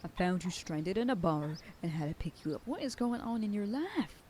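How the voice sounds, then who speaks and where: A woman's voice: mid-range voice, sing-song, fairly quick, slight background noise. Woman as a scolding werewolf sheriff girlfriend. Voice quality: soft whisper in quieter passages. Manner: scolding, then softening into tender whispers. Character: protective werewolf sheriff girlfriend, stern but loving. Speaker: voice actor in an audio roleplay. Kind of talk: ASMR roleplay, speaking to the listener.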